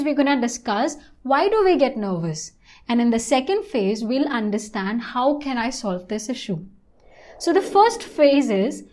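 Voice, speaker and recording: A woman presenting an online English lesson in a scripted, instructional style, with deliberate and emphatic phrasing. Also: sits high, darkish tone.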